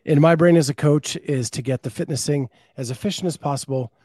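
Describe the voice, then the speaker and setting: A man's voice: a little high, brisk, mellow, no echo, studio quality. A man hosting a podcast, reading listener comments aloud and expanding on them in casual chat. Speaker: podcast host.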